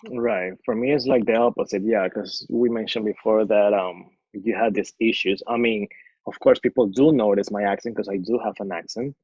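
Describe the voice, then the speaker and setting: Low-voiced young man from Ecuador, easygoing. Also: fairly even intonation, normal speed, a little mellow, breathy edge, no echo, highs cut off. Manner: easygoing, many fillers. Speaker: podcast co-host. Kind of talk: casual podcast chat.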